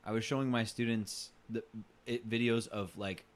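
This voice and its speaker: A man talks casually as a podcast co-host, in a voice of average pitch. His delivery is halting, and he often repeats the start of a phrase before carrying on. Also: steady tone.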